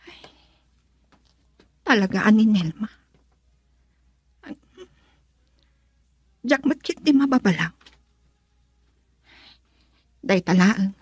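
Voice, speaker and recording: A woman's voice: medium pitch, animated, moderate pace, slightly rough, no echo. A woman playing a loving young wife, plaintive and tender, in an Ilocano radio drama. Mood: wounded. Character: loving, wistful young wife, hurt by her father.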